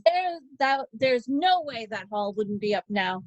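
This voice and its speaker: Brief: a woman reading a know-it-all mum, high-pitched, dry sound. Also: very animated, unhurried, dry recording, low-quality recording. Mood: opinionated. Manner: opinionated. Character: opinionated mum complaining about schools. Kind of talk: amateur online play reading.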